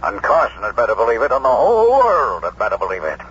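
A man's voice: mid-range voice, huge pitch swings, fast, fairly bright tone. An actor playing a bitter, desperate businessman full of threats, in 1970s radio drama style. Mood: furious desperation. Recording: muffled 1970s radio recording, faint noise.

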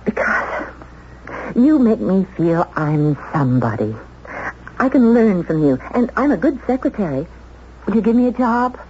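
An actress playing an earnest, long-suffering secretary who has turned bold, in 1970s radio drama style. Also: female voice, mid-range voice, very animated, normal speed, lightly acted. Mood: nervous, eager. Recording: noisy, muffled 1970s radio recording.

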